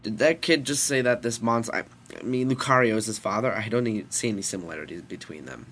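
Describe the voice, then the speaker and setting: Man acting out bumbling older scientist, excitable, fake bad British accent, loud projected voice, amateur story reading, faint noise. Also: fairly low pitch, fast, lightly acted. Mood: flustered. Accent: deliberately bad British.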